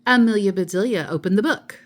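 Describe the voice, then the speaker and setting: A woman's voice: mid-range voice, brisk. A woman storyteller reading a children's picture book aloud.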